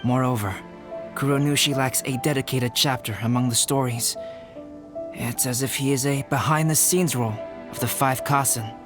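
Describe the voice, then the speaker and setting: An actor playing a composed, logical young artist in an anime-style video game, speaking in a measured, courteous and articulate way. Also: male voice, mid-range voice, fairly even intonation, over music, close to an everyday voice. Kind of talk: anime-style game acting, formal speech.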